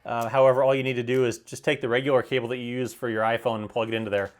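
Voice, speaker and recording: A man giving an unscripted product demonstration in a plain-spoken, explanatory way, sometimes halting to correct himself. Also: medium pitch, little pitch movement. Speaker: product reviewer.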